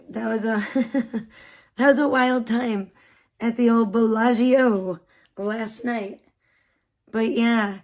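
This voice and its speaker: A woman live streamer, hoarse and losing her voice, chatting bubbly and rambling with her viewers while making tea in her kitchen. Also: on the high side, darkish tone. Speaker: live streamer greeting chat. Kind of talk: casual live stream chat.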